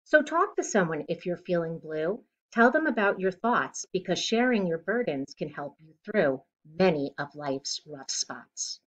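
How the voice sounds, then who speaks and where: A middle-aged woman reads a rhyming children's picture book aloud in a deliberate, expressive way, recorded at home on a device mic. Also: fairly low pitch. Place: living room at home, indoors. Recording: dull lo-fi webcam sound.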